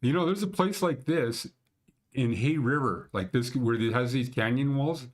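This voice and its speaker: A man's voice: mid-range voice, normal speed. A man in his sixties with a Canadian accent rambles through a casual talk over his screen, tossing in blunt and sometimes crude jokes. He talks from a small room at home into a webcam mic on his desk. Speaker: mountain conditions show host. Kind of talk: casual screen-share commentary.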